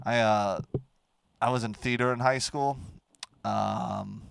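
A man, co-hosting a casual comedy podcast and telling stories in an animated way, close to a microphone. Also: mid-range voice, vocal fry, clear, resonant tone, no echo. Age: 20s. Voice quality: nasal. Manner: animated storytelling, quick to laugh. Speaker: podcast co-host.

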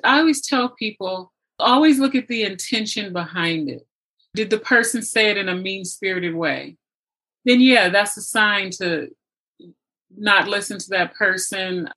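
A woman's voice: mid-range voice, unhurried, bright, forceful tone, poor audio. A woman hosting a conversational interview, speaking in an earnest, encouraging way. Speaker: interview host.

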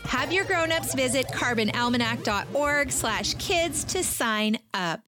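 High-voiced woman hosting a kids' show. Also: expressive, a little slow. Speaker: children's podcast host. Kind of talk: scripted kids' show introduction. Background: music.

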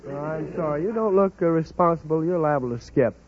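A man's voice: fairly high pitch, a little fast, very noisy. A man from New York City answering a quiz host's questions in a polite, earnest, slightly formal way. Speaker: quiz show contestant, bail bondsman. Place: radio show stage. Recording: muffled old-time radio recording.

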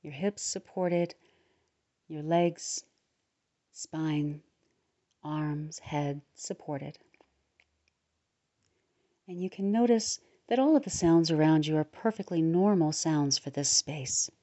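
A woman leading a guided relaxation meditation in a soothing, unhurried manner, pausing between short phrases. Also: low voice, mellow, highs cut off. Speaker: guided meditation leader, podcast host.

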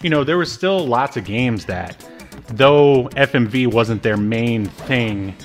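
A man's voice: mid-range voice, lively intonation, takes it slowly, soft background music. An American man chatting casually as a podcast co-host, with jokey, mock-indignant asides. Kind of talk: casual review banter.

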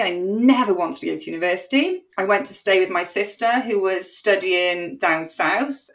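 A talkative woman with a strong Cardiff accent, her voice sitting a little low for a woman. She tells her story in a loose, chatty way, full of ums and quick asides, co-hosting a podcast. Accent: Welsh, Cardiff. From Wales. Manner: chatty, lively, laughs often, many fillers. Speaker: podcast co-host. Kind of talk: casual storytelling chat.

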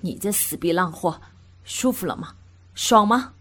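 A woman voicing a crude, domineering young man who swears and barks commands in a gruff, lowered voice. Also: animated, moderate pace. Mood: rough, domineering.

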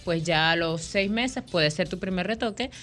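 A woman's voice: fairly low pitch, normal speed, a bit bright, faint music behind. Chatty Venezuelan woman telling her story. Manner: chatty, storytelling, laughs easily. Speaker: guest, microblading artist.

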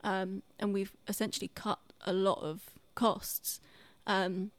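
A woman's voice: mid-range voice, little pitch movement, slow, dry recording. A woman talking casually and earnestly on a podcast, explaining things in an explanatory way.

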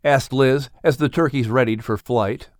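A man's voice: medium pitch, moderate pace, dry recording, studio quality. A man reading scripted storybook narration expressively, as an audiobook narrator and announcer.

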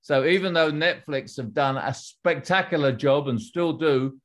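Middle-aged guy, ex-exec answering questions, steady and explanatory, restarts a lot. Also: fairly high pitch. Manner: measured, explanatory, with frequent restarts. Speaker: former executive, author. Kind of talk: interview answers.

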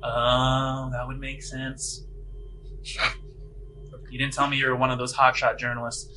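A man's voice: medium pitch, monotone, measured pace, bright, forceful tone, soft background music, poor audio. Man playing a nervous, stammering prison guard. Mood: apologetic, eager. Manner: stammering, hesitant, overly polite. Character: nervous, deferential prison guard, eager and apologetic.

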